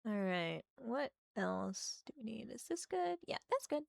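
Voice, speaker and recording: Slightly hoarse woman, chatty and gravelly. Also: a little high, very animated, unhurried, no room sound, clean studio sound. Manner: chatty, thinking aloud, muttering to herself as she works. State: slightly hoarse from a sore throat. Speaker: art streamer. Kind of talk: casual livestream chat while drawing.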